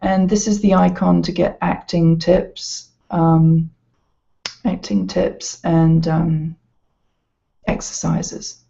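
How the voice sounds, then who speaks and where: A woman's voice: lowish voice, flat delivery, vocal fry, mellow. A woman giving an informal, hesitant walkthrough as an educational presenter. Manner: hesitant, halting delivery. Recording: slightly dull, lo-fi sound.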